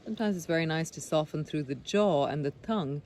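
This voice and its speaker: A man leading a guided body scan meditation, speaking gently and unhurriedly with pauses between phrases. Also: a little high. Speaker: meditation guide, breath coach. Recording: clear background noise of sea sounds. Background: sea sounds.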